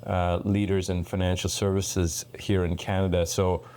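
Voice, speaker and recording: A man from Sri Lanka hosting a podcast interview, giving measured, earnest introductions. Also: low voice, flat delivery, a little mellow, a little hiss or hum. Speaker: podcast host.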